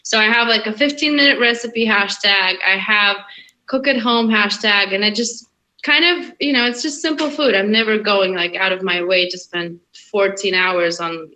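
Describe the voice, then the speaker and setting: A young woman talks easily as a podcast guest. Her voice is bright and forward, and she keeps a middle pitch. She pads her thoughts with fillers and breaks into laughs often. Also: normal speed, poor audio. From Russia. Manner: chatty and laughing, many fillers. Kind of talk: casual interview chat.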